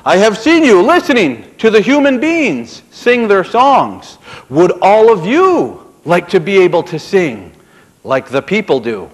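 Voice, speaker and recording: A man's voice: high-pitched, big pitch swings, exaggerated voice. A man doing a solemn creator spirit. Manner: solemn, commanding, slow. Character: wise creator spirit addressing a council. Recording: long hall reverb.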